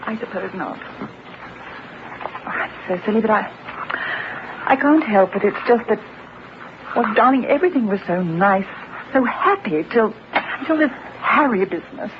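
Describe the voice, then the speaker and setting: A woman's voice: medium pitch, very animated, moderate pace, lightly acted. An actress playing an anxious, proper young British mother in a radio drama, earnest and fretful. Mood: worried. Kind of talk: radio drama dialogue and first-person narration. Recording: lo-fi radio recording, clear background noise.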